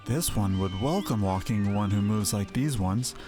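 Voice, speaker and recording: A man's voice: on the low side, normal speed, music in the background, lightly acted. A man voicing a wary little forest sylph from a fantasy game, with stilted, formal speech. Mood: welcoming, grateful. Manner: stilted, clipped, formal phrasing.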